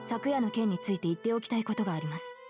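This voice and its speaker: A Japanese woman voicing a calm, dignified young female knight in a visual novel, formal and polite, with music behind. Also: mid-range voice, very fast, close to an everyday voice. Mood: stern. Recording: prominent game music behind.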